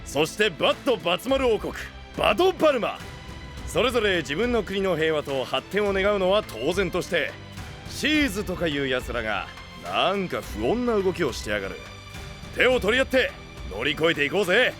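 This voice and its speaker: A rough-talking, big-hearted young knight leader in an anime voice drama. His pitch runs high and very lively, and his speech is blunt and slangy. Faint music plays behind him. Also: normal speed. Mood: commanding. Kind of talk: Japanese anime-style voice drama acting.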